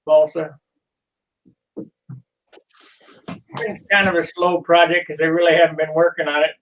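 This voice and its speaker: A man's voice: a little high, fairly even intonation, unhurried, far from the mic, lo-fi sound. A man in casual video call chat, talking about his model plane paint as he fumbles with a can. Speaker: hobbyist showing his paint.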